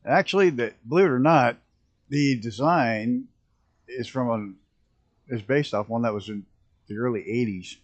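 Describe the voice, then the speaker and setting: A man with a rough early-morning voice, chatting casually and rambling as he streams a flight simulator. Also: mid-range voice, moderate pace, pauses often. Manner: rambling, self-interrupting, chuckling at mishaps. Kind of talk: casual live-stream commentary.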